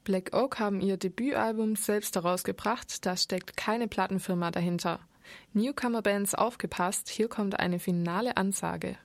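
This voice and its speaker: A woman reporter reading scripted narration between interview clips in a crisp, matter-of-fact way. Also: medium pitch, fairly even intonation, moderate pace, dry recording.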